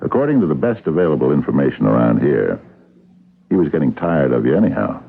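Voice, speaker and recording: Very deep gravelly police captain. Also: male voice, big pitch swings, fast, heavily acted. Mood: skeptical, dry. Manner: hesitant, probing, dry. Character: dogged, wry male police captain questioning suspects. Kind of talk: radio mystery drama acting. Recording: muffled lo-fi old radio broadcast.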